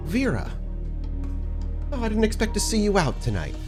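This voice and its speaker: A man voicing a smug, flashy male businessman with a smooth-talking, boastful manner in a tabletop roleplay game. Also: high voice, wildly swooping pitch, moderate pace, music in the background, voice pushed up. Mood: surprised, gushing. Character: smug, grinning, showy male nightclub businessman.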